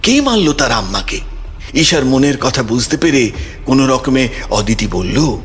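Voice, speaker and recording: A man's voice: medium pitch, sing-song, a little slow, a little hiss or hum. A man narrating a scripted audio story in a dramatic storytelling style. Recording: music behind the voice.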